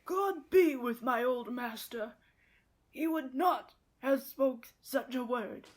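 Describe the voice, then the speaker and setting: Aged loyal servant, played by a woman, very high voice, unhurried, earnest, classical radio drama. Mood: hurt, rueful. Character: loyal, gentle, wronged old manservant. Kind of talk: Shakespearean radio play acting.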